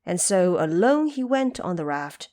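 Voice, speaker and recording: A woman storyteller giving a dramatic, expressive scripted narration of a tale. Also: on the low side, a little slow. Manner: expressive, theatrical.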